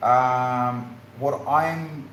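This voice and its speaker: Male stream co-host, casual and halting, echoey room. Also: medium pitch, fairly even intonation, fairly bright tone. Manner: halting, searching for words with fillers. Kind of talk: casual Q&A chat. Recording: echoey room, faint noise.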